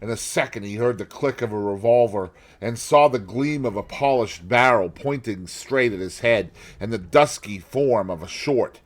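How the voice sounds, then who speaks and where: A man reading literary fiction as an audiobook narrator, dramatic and deliberate, letting his phrases drop at the end. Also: fairly low pitch.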